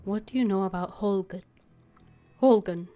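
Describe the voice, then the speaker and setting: A woman's voice: medium pitch, moderate pace, dark tone. A woman game streamer with a mid-range voice, reading lines aloud and commenting casually. Faint game music and keyboard sounds are behind the voice.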